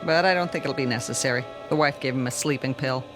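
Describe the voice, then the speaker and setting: An actress playing a young, unflappable flight attendant in a radio drama, chatting in a playful, gossipy way. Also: female voice, very deep voice, fast, music in the background, poor audio. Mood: chatty. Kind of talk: vintage-style radio drama acting.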